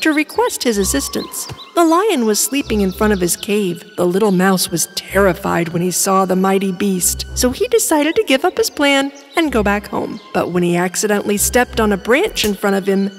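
A woman narrating an animated children's storybook in an expressive storytelling manner. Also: mid-range voice.

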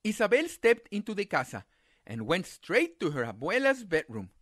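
A man reading a children's picture book aloud as a storyteller, with dramatic, deliberate phrasing. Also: high voice, huge pitch swings, fairly bright tone, no echo, clean studio sound.

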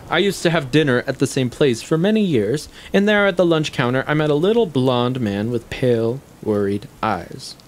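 An actor playing a shrewd, needling intellectual in a stage play, speaking deliberately in formal, articulate, condescending sentences. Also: male voice, mid-range voice, natural voice. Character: shrewd, probing male intellectual, coolly needling his guest. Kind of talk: stage play acting, long monologues.

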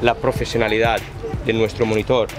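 A man's voice: lowish voice, little pitch movement, brisk. A man in his forties, representing a water sports centre, explaining activities informally on camera outdoors. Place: outdoors at a seaside marina. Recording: loud music behind the voice.

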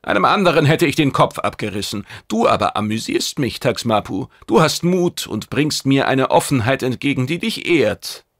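Man acting a forceful, domineering alien warship commander. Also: medium pitch, very animated, normal speed, hardly any pauses, no echo, clean studio sound, pitched above the performer's own voice. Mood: amused menace. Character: brash, domineering male alien warship commander, impatient schemer. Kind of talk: audiobook character acting, science fiction.

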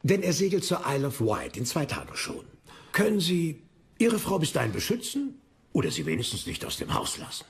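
Brisk, animated English lord. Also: male voice, on the high side. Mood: brisk, reassuring. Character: brisk, commanding English lord, reassuring superior. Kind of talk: dubbed period docudrama acting.

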